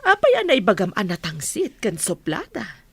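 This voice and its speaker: Husky, probing mother. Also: mid-range voice, huge pitch swings, normal speed, dry recording, full character voice. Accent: Ilocano. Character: probing, patient mother of a grown son. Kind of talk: Ilocano radio drama acting.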